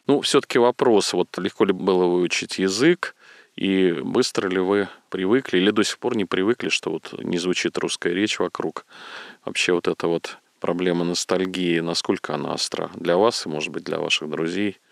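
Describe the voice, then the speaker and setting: A man's voice: low-pitched, normal speed, gravelly, no echo, studio quality. A middle-aged man hosting an interview, conversational, asking long questions and telling anecdotes. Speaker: interview host. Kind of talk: radio-style interview.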